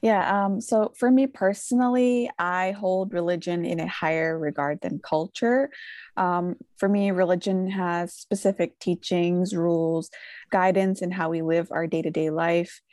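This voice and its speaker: Student guest, female, 20s, fairly low voice, dry close recording. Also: fairly even intonation, unhurried, darkish tone, no echo. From United States. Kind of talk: podcast guest conversation.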